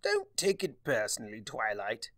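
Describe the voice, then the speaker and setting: A man voicing a theatrical, sardonic, mischievous male chaos spirit with a wry, drawn-out delivery in a fan fiction reading. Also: lowish voice, wildly swooping pitch, fairly bright tone, no echo, studio quality.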